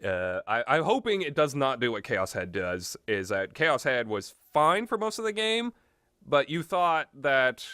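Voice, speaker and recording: A man's voice: mid-range voice, very animated, measured pace, pushed and bright, clear, resonant tone, no echo, studio quality. A man giving a casual, unscripted, rambling review of visual novels, blunt and opinionated, often backtracking and going off on tangents.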